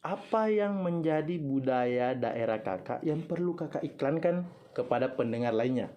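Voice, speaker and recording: A man's voice: medium pitch, expressive, moderate pace, dull audio, slight background noise. Chatty young man hosting campus radio. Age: young adult. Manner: chatty, reads listener questions aloud, prompts guests. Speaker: radio talk show host. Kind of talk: student campus radio talk show.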